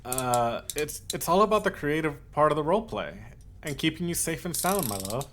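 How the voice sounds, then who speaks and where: A man playing an obsessive, possessive, lovesick yandere in a scripted ASMR roleplay, speaking haltingly with cooed endearments. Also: fairly high pitch, sing-song, slight background noise. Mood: soothing, possessive. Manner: halting, cooing endearments, swings from hushed to insistent.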